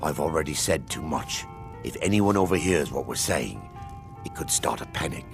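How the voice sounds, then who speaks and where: A man acting a grave, soothing priest in a fantasy video game, speaking in a measured, formal and earnest way. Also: a bass voice, lively intonation, vocal fry, soft background music, exaggerated voice. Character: grave, soothing male elven priest keeping secrets.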